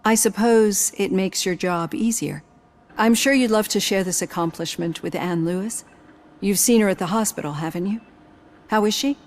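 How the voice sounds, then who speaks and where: An actress playing a composed psychologist in a video game, speaking in a calm, measured, gently probing way. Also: female voice, mid-range voice, lightly acted. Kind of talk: scripted game dialogue.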